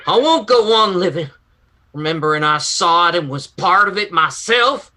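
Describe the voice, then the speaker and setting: A man's voice: sits high, lively intonation, normal speed, pushed and bright, exaggerated voice. A man playing a bitter, anguished, self-loathing young son in a radio western drama. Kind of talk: old-time radio drama acting.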